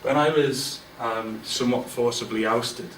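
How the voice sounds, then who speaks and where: A man's voice: medium pitch, steady tone, a little slow, a bit bright, a little hiss or hum. A man in his forties speaking candidly and informally to an audience at a business event, with many fillers and restarts. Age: 40s. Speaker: guest speaker. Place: large event room with an audience. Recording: roomy, distant mic with faint ambience.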